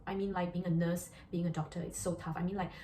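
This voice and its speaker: A woman's voice: on the low side, little pitch movement, fast talker, warm tone. Chatty Malaysian woman in an echoey room. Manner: earnest, chatty, many fillers and restarts. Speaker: doctor. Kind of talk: casual vlog monologue. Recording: echoey room with faint noise.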